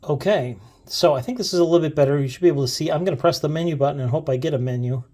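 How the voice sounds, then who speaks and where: A man's voice: on the high side, very fast, a little mellow. A man hosting a casual, unscripted gadget demo, hesitating and thinking aloud as he fiddles. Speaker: gadget video host.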